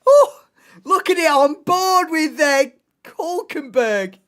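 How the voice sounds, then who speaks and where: A woman hosting a live race commentary stream, excitable and bursting into shouts and laughs, her voice going hoarse. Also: sits high, slow. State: losing voice, hoarse.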